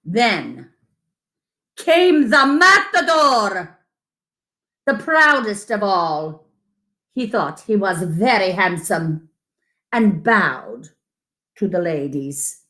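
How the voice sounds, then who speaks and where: A woman's voice: mid-range voice, very animated, extremely slow, long pauses, bright, forceful tone. A woman reading a picture book aloud to children as a storyteller, with dramatic emphasis and asides to her listeners.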